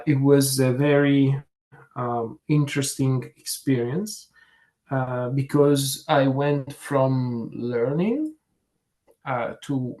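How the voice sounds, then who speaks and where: A man from Italy with an Italian accent, giving conversational interview answers in a reflective, hesitant way with frequent pauses mid-sentence. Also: medium pitch, darkish tone. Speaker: career fair interviewee, program manager.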